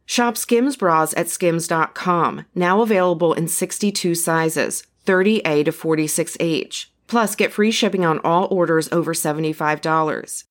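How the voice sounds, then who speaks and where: A woman reading a podcast advert as a personal testimonial. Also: lowish voice. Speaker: ad reader.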